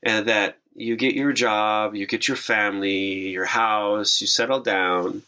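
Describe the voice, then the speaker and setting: A man hosting an interview podcast, asking slow, reflective, rambling questions. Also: on the low side, steady tone, bright, forceful tone, smooth. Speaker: podcast host.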